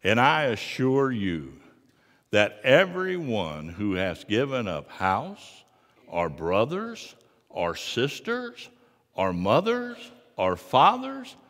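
A middle-aged man preaching a sermon in a large church sanctuary, moving from quiet asides to loud, fervent passages. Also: mid-range voice, sing-song, slow, in a large hall. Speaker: pastor.

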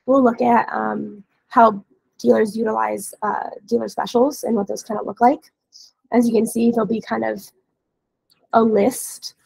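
Woman doing a casual training webinar, kinda high but husky n hoarse from being sick, dry headset mic. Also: darkish tone, no room sound. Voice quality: scratchy. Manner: casual, explanatory. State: hoarse from a cold. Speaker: webinar trainer, dealer support staff. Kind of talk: informal software training walkthrough. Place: indoor room, on a video call.

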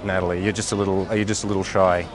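A man's voice: sits low, little pitch movement, rapid-fire, low-quality recording. A man approaching a stranger on a city street, upbeat, chatty and teasing. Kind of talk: casual street chat. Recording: street clip with music over it.

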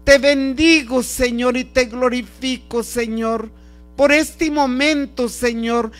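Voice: high voice, steady tone, slow pace. A prayer leader speaking a fervent, improvised devotional prayer in short, pleading, repeated phrases. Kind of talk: fervent extemporaneous spoken prayer. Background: music.

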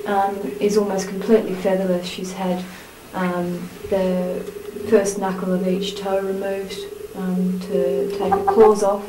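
An Australian woman activist gives a formal, measured statement for a camcorder, hesitating with fillers as she describes things. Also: mid-range voice, level tone, background noise. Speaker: animal rights activist. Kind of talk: spoken statement for camera. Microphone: camcorder mic. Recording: echoey 1990s camcorder audio.

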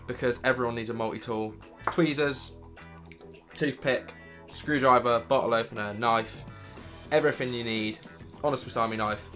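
A British man in his thirties with a croaky voice from illness, chatting enthusiastically about his gear to camera in a home studio. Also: medium pitch, sharp tone. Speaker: vlogger. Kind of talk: casual gear review to camera. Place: dim home studio room, indoors.

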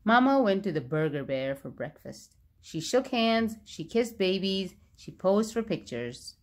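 Female read-aloud narrator for young children, expressive phrasing, animated pitch, mid register. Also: unhurried.

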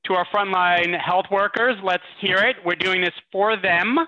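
High, bright-voiced man hosting. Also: steady tone, moderate pace. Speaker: host. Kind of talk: casual hosting of a live panel. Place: indoor room, on a video call. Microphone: computer mic on video call. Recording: muffled lo-fi video call.

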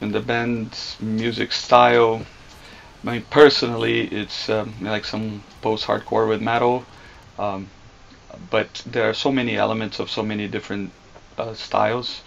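A man from Brazil talks in a casual, hesitant way, with a low gravelly voice and little melody. He sits in a music studio, and the recording sounds a touch dull. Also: a little slow, a little hiss or hum. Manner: relaxed, hesitant with fillers. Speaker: band vocalist. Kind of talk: casual interview talk.

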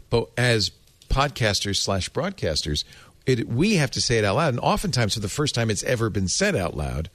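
A man with a fairly low voice and lively, swooping intonation hosts a casual panel discussion. He thinks out loud playfully, and the sound is close and dry. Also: no echo. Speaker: podcast host.

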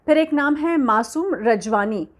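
A woman's voice: high-pitched, expressive, measured pace. A woman in her forties hosting an entertainment news commentary to camera from a room at home, speaking earnestly and measuredly with frequent hesitations.

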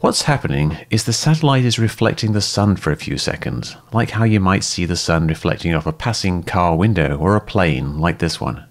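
Brief: low-voiced male narrator, methodical and calm, lively intonation despite the deep pitch. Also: normal speed, few pauses. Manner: calm, methodical, step-by-step explaining. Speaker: host and debunker. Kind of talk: scripted explanatory narration.

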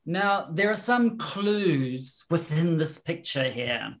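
A man in his forties with a softened New Zealand accent, a guest chatting casually on a video call through a headset, explaining in an animated way. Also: fairly high pitch, takes it slowly.

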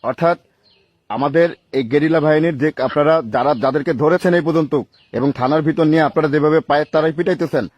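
A Bangladeshi man with a fairly high voice speaks on a recorded phone call. The sound is dry and close. He is stern and accusatory, declaiming his points like a political leader making a formal protest. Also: fairly quick, dry recording. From Gopalganj region, Bangladesh.

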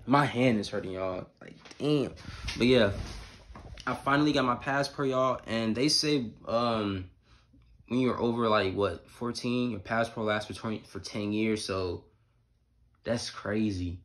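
A man's voice: lowish voice, a little slow, fairly bright tone. A twenty-year-old American man chatting casually to camera in a slangy, emphatic vlog style, repeating phrases for emphasis. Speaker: YouTube vlogger. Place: small indoor room at home. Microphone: phone or camera at arm's length.

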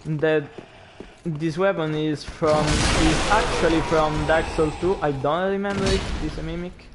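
A man's voice: fairly high pitch, steady tone, a little slow, very noisy. A young man with an Italian accent, a non-native English speaker, chatting as he streams a game and reacting aloud to it. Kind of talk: gameplay commentary.

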